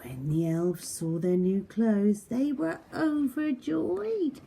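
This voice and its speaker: Woman reading kids story, super slow n animated, soft mellow voice, often hushed, some noise behind. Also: mid-range voice. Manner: expressive, dramatic, often hushed and breathy. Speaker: children's storyteller.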